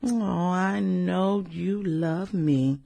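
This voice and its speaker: An actress in a satirical radio drama playing a sultry, manipulative businesswoman with a theatrical, coquettish manner and breathy delivery. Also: on the low side, warm tone, full character voice. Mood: flirty, teasing. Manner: theatrical, coquettish, drawn-out exclamations and giggles. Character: sultry, demanding, manipulative businesswoman juggling rich lovers.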